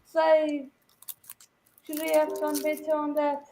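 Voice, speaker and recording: High-voiced woman, slow and exclaiming. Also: monotone. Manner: exclaims at the play, teasingly hushes the others. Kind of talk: casual chat with match reactions.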